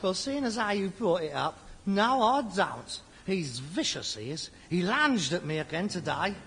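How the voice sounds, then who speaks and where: A man's voice: high-pitched, big pitch swings, measured pace, fairly bright tone, breathy edge, exaggerated voice. An actor playing a put-upon, exasperated Cockney rag-and-bone man in a radio sitcom, griping and defensive. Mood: resentful. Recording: echoing hall, noisy old radio recording.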